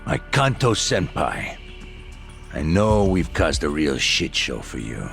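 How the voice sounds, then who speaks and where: An actor playing a stern, blunt yakuza boss who speaks with authority in a video game drama. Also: male voice, rumbling low, very animated, takes it slowly, gravelly, fairly bright tone, heavily acted. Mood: commanding. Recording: faint music behind.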